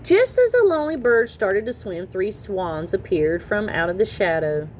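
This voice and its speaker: A woman reading a children's story aloud in an expressive, animated storytelling manner. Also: mid-range voice, moderate pace, rough recording. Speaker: children's story reader and channel host. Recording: noticeable background noise.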